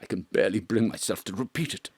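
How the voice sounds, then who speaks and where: An actor playing a pompous, melodramatic veteran male stage actor who swings between booming declamation and hushed confession, recorded from a home studio. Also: fast, slightly rough, dry recording. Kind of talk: live remote audio drama acting.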